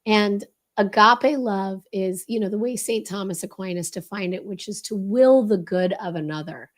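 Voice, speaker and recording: A woman speaks as a leadership expert in an explanatory interview style. Her mid-pitched voice is a little crackly and strained from voice loss. She is emphatic and instructive, posing rhetorical questions to make her points. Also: moderate pace.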